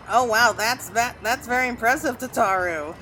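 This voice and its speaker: A woman giving casual, exclamatory gameplay commentary in an animated way. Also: on the high side, slight background noise, poor audio. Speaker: gaming video creator. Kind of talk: casual gameplay commentary with reading aloud of game dialogue.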